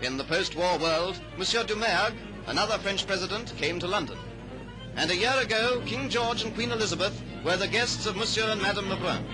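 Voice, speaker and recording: A man's voice: high-pitched, brisk, poor audio. A man narrating a 1930s newsreel in a grand, declamatory, patriotic manner. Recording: old newsreel soundtrack under loud music. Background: loud music.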